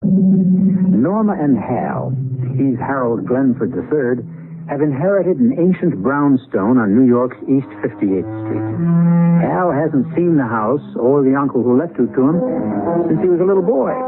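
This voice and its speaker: A man hosting and narrating a 1970s radio mystery drama in a measured, theatrical storytelling manner. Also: medium pitch, sing-song, over music. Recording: narrow, tinny vintage radio recording.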